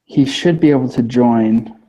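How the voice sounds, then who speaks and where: A man's voice: mid-range voice, a little slow, mellow. A young man in a student group video call, giving brief, easygoing replies. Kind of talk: casual video call meeting. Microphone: computer mic on a video call. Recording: dull lo-fi call audio.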